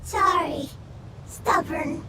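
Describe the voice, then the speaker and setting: A woman playing a small, sad elemental creature in a fantasy video game, speaking in halting, simple phrases. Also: very high-pitched, exaggerated voice. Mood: plaintive. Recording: echoey, distant, loud game music.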